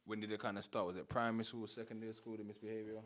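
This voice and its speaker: Fast-talking young man interviewing. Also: fairly low pitch. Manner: relaxed questioning with London slang and stutters. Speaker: interviewer and host. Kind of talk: casual podcast interview.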